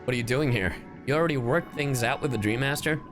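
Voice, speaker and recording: A man's voice: medium pitch, fast talker. A man casually live-commenting on a game as a streamer, reactive and sarcastic, swearing offhandedly. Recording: game music behind voice. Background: video game music and dialogue.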